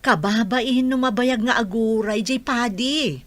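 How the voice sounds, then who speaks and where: A woman's voice: a little high, measured pace, no room sound, natural voice. A woman playing an impatient, scolding mother in a radio drama.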